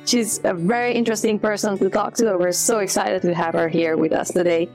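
Woman co-host, mid-pitched voice. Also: fairly even intonation, fairly quick, a little mellow, faint music behind. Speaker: podcast co-host. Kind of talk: casual interview chat.

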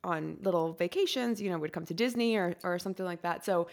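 A woman from Costa Rica hosting a podcast, chatty and animated, laughing easily as she talks. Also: fairly low pitch, quick pace. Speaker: podcast host. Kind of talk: casual podcast interview.

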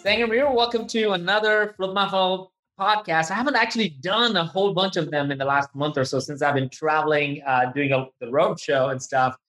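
Play a podcast host, a man whose voice sits a bit higher than most. He talks casually and eagerly, tossing in slang like he's catching up with a friend. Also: fairly quick, hardly any pauses, a bit bright, soft background music. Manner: enthusiastic and informal, says "dude". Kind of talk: casual interview chat.

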